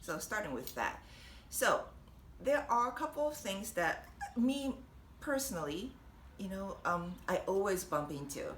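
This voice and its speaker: A young woman with a Japanese accent, chatting warmly and encouragingly to camera, laughing often. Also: medium pitch, very animated, a little slow. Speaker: vlogger and language teacher. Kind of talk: casual encouraging talk to camera. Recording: echoey room with faint noise.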